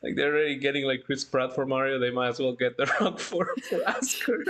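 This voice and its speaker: Giggly, halting livestream host chatting casually. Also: medium pitch, expressive, a bit bright. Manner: giggly, halting, breaks into laughter often. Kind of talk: casual chat, reading out live chat.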